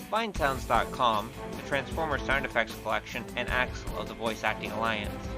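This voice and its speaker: A man with a mid-pitched voice announces the credits of an audio show. Music plays clearly behind his scripted read. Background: loud music.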